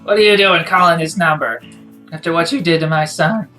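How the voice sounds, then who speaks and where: A man's voice: a little high, fairly quick, pushed and bright, faint music behind, voice pushed up. Man voicing a distraught, accusing grieving mother. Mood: angry, grieving. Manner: accusatory, pleading.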